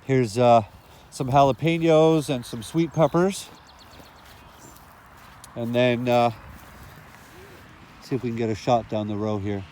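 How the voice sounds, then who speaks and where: A man's voice: mid-range voice, steady tone, slow pace, pauses often, mellow, slight background noise. Sniffly man touring his garden. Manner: rambling, with frequent fillers and restarts. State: sniffly from a cold. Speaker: gardening vlogger. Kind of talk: casual walk-through narration. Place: outdoor garden plot in the evening.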